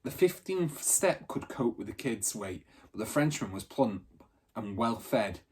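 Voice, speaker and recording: A man narrating a children's storybook aloud in an expressive, teacherly reading style. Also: fairly low pitch, a little slow, a little hiss or hum.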